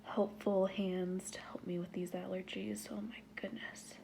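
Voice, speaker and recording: A woman with a stuffy nose, talking casually to her viewers, breathy and often half-whispered between sighs. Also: medium pitch, level tone, measured pace, noisy background. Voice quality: stuffy nasal voice. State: stuffy nose, sniffling and sneezing. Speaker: vlogger. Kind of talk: casual talk to camera.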